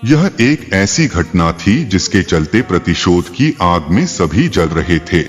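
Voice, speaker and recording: A man's voice: deep voice, very animated, slow. A man narrating scripted mythological tales in a formal, dramatic storytelling style. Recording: long reverb, faint music behind. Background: faint background music.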